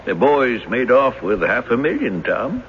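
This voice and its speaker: A man's voice: mid-range voice, sing-song, unhurried. An actor in a radio drama playing a genial, folksy old retired bank man who coaxes like a crafty confessed robber. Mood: reminiscing. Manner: folksy, coaxing. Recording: dull, noisy old radio broadcast.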